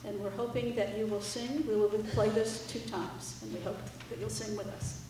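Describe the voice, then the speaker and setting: A woman's voice: medium pitch, steady tone, moderate pace. A woman speaking informally to a live audience as a musician introducing her group's songs. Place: indoor library art gallery, live audience. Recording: echoey room, distant mic, faint noise.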